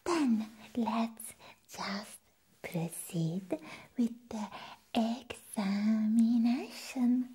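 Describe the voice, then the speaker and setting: A young woman playing an eccentric, flustered vampire nurse in a whispered ASMR roleplay, slow and halting, repeating her words. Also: a little high, warm tone, full character voice. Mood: flustered, playful. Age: young adult. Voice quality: breathy, whispery. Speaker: ASMR performer. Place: small indoor room set as a clinic. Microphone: close microphone.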